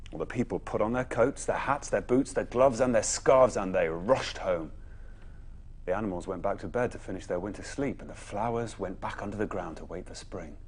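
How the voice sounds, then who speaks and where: A man narrates a children's bedtime story. His voice is fairly low and husky, and it rises and falls widely. He uses dramatic pauses and leans on key phrases.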